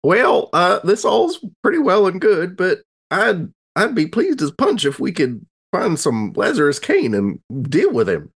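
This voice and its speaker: A man's voice: high voice, wildly swooping pitch, unhurried, vocal fry, few pauses, fairly bright tone, slightly rough, heavily acted. A man playing an eager, folksy adventurer in a tabletop game, polite but gung-ho. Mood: eager, determined.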